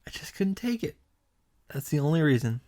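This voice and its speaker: A woman giving a casual, expressive recap of a video game, reading out text and reacting with exasperation and swearing. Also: sits low, brisk.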